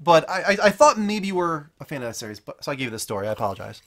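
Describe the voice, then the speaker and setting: Lively-pitched man hosting a casual chat. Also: very fast. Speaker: podcast host.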